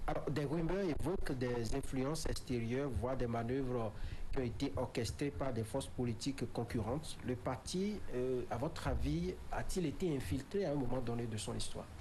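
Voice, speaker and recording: A man's voice: mid-range voice, moderate pace, warm tone, slight background noise, rough recording. Hesitant male TV debate host. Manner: long hesitant questions full of fillers. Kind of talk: televised political debate. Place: TV studio.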